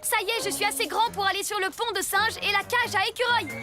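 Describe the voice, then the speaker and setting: Earnest high-pitched little boy hero. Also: fairly even intonation, fast talker. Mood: hopeful. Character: earnest, polite six-year-old boy superhero. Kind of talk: French cartoon dubbing. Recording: loud cartoon music behind.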